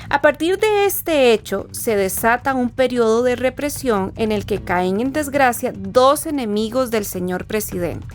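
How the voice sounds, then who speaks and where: A woman giving a scripted, formal, didactic narration as presenter of an educational literature programme. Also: fairly high pitch. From Costa Rica. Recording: voice over background music. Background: music.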